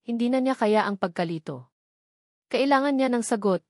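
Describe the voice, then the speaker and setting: A woman's voice: on the high side, animated, normal speed, a little mellow, no room sound, studio quality. A woman with a Filipino accent reading a scripted romantic drama as an audiobook narrator.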